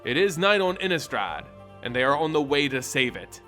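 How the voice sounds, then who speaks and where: Male audiobook narrator, lively intonation, measured and dramatic, music bed underneath. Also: on the high side. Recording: music behind the voice.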